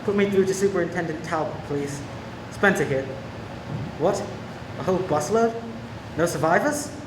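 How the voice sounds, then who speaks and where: A man playing an earnest, restrained police detective in a radio play, polite and measured. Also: sits high, expressive. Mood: businesslike. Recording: echoey room with clear background hum.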